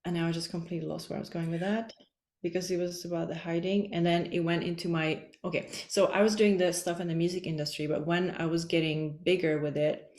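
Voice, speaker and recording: A woman's voice: fairly low pitch, little pitch movement, fast talker, darkish tone, roomy sound. A woman from the Czech Republic, a guest on a podcast, talking in a loose, rambling way full of "like" fillers. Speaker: podcast guest, energy healer. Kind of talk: casual interview chat.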